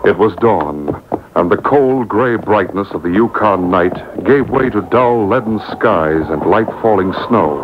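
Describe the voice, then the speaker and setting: A man's voice: expressive, measured pace. A man narrating an old-time radio adventure serial as a dramatic, scripted storyteller. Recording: noisy muffled boombox taping of AM radio.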